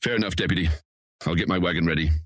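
A man's voice: deep voice, rapid-fire, fairly bright tone, no echo, studio quality. A man playing a rotund, old-fashioned guildsman in a fantasy audiobook drama, hearty and proper in manner. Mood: businesslike. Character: rotund, jovial, old-fashioned male guildsman and wagon trader.

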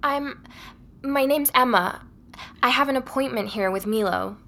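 A woman's voice: high voice, measured pace, fairly bright tone, a little hiss or hum. An actress playing a curious, polite woman investigator in a naturally spoken audio drama. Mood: nervous, apologetic. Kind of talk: audio drama, intimate narration and natural dialogue.

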